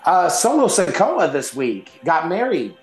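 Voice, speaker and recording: A man co-hosting a casual wrestling podcast, hoarse from losing his voice, laid-back and swearing casually. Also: fairly high pitch, sing-song, a little slow, echoey room, soft background music. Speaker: podcast co-host. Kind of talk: casual wrestling news chat.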